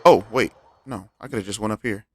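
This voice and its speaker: A man's voice: fairly low pitch, a little fast. A man streaming a video game, making offhand, casual commentary and muttering to himself. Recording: game music behind.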